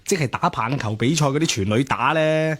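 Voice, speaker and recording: A man's voice: medium pitch, a little fast, fairly bright tone, a little hiss or hum, natural voice. An actor with a Hong Kong Cantonese accent playing a cocky, flippant young student womanizer in radio drama dialogue, teasing and dispensing mock wisdom. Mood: sly, teasing.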